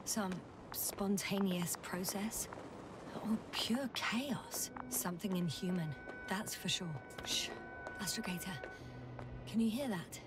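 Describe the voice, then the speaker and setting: A woman's voice: mid-range voice, slow, close to an everyday voice. Actress as woman space explorer, thoughtful, dryly wry, naturalistic game acting, prominent music. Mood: uneasy, hushed.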